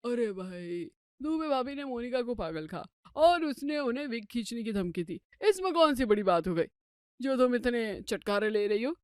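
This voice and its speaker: Woman acting an unfazed husband, high clear voice. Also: expressive, normal speed, darkish tone, no room sound, studio quality, natural voice. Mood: dismissive. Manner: nonchalant, shrugging off everything. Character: unfazed, dismissive middle-aged husband and father. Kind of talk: Hindi comedy story dramatisation.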